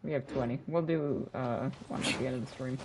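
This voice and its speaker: A man's voice: medium pitch, fairly even intonation, fairly quick, very noisy. A young man streaming a video game with casual, chatty commentary.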